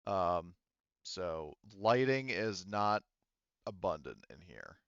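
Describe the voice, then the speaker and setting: A man running a tabletop game, narrating off the cuff in a halting, conversational way. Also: low voice, big pitch swings, a bit bright, clean tone, dry recording, lo-fi sound. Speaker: game master.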